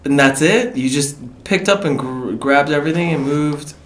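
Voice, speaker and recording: Young man stammering through casual chat. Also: on the high side. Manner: halting, stammering restarts. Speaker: guest.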